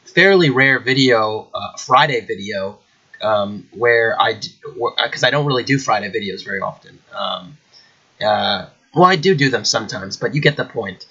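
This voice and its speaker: A man's voice: medium pitch, pushed and bright, husky, highs cut off. A man with a cold, a little nasal and congested, chatting casually at home as host of his chess channel, rambling with many fillers. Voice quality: slightly nasal. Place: at home indoors.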